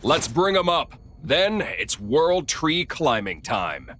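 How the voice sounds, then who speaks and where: A man's voice: fairly high pitch, sing-song, slow, fairly bright tone, slight background noise, full character voice. A man playing a laid-back, smug male villain in a video game cutscene. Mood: casual, sly.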